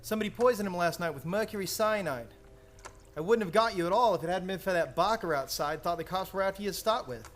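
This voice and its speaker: Man as a fast, high-voiced brash cop. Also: a bit bright. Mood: brusque. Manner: blunt, slangy, tough-talking. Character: brash, slangy, blunt police officer. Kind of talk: old-time radio crime acting.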